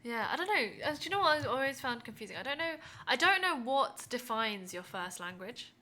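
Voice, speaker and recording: A woman's voice: fairly high pitch, sing-song, brisk, bright, forceful tone, a little hiss or hum. A woman co-hosting a podcast, a native Korean speaker, chatting casually and colloquially. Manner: informal, colloquial. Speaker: podcast co-host. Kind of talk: casual chat.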